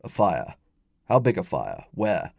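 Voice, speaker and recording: Man playing a gruff, affectionate Roman commander. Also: low voice, wildly swooping pitch, measured pace, no echo, clean studio sound, voice pushed down, full character voice. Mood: irritated. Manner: affectionate, grumbling, authoritative. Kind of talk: audiobook character dialogue.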